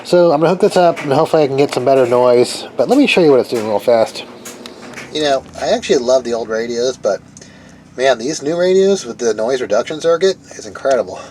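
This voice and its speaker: A man's voice: medium pitch, animated, fast talker, a little mellow, poor audio. A man giving a casual hands-on explainer, informal and thinking aloud as he tinkers. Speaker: hobbyist video maker demonstrating car radio gear. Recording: clear background vehicle and ambient noise. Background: vehicle and ambient noise.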